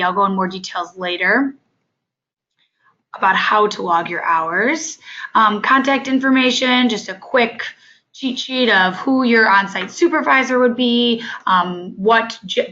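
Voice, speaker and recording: A woman who has lost her voice from illness, leading an online training webinar as a program coordinator, speaking haltingly with many fillers. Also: mid-range voice, sharp tone, lo-fi sound. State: hoarse from illness. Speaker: off-campus study coordinator.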